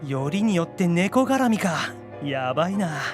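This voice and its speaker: A man voicing an excitable, geeky young male demon in a theatrical, exclaiming anime audio drama style. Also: on the high side, unhurried, music in the background. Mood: incredulous.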